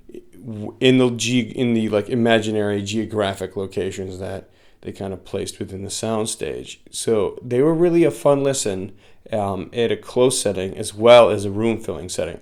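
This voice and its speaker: A man giving a casual product review in a halting way, often pausing mid-phrase. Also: lowish voice, darkish tone. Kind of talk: semi-scripted casual review.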